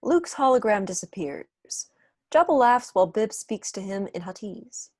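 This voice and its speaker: A woman's voice: medium pitch, expressive, moderate pace, darkish tone, dry recording. A young woman reading stage directions as scripted narration for an online table read. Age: young adult. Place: room at home, on a video call.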